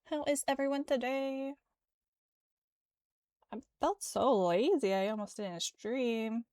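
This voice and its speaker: A woman's voice: a little high, slow pace, darkish tone, smooth, no echo. A woman livestreamer chatting casually with viewers in a bubbly, giggly, friendly manner. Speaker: livestreamer, host. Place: indoor livestream setup.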